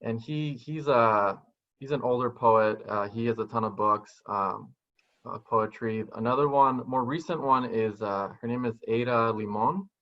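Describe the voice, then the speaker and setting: A soft-spoken man in his thirties whose first language is Spanish, talking thoughtfully and restarting his sentences as he searches for words. His voice sits low and comes through a muffled, lo-fi video call line from a small room at home. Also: measured pace. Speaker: guest poet. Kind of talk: casual interview answers. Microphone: video call webcam. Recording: dull lo-fi video call audio.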